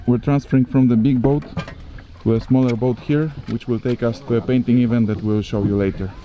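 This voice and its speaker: A man's voice: medium pitch, fairly even intonation, fairly quick, dull audio, faint music behind. A man from Poland, chatting as a travel vlogger while walking through a remote village. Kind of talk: casual walking-tour commentary. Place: outdoors in a hot remote village.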